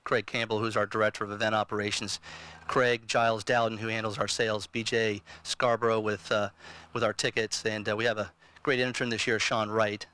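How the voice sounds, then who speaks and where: A man's voice: on the low side, little pitch movement, a little fast, pushed and bright, clear, resonant tone. A man acting as event organizer and master of ceremonies, introducing speakers at a press event.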